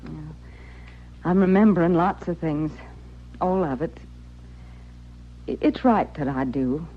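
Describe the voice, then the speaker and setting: A woman's voice: low voice, unhurried, a little hiss or hum. On a dull vintage radio recording, a proud frontier widow speaks in rustic, folksy phrases. She sounds stubborn and wounded, in the manner of old western radio drama. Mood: remorseful, earnest.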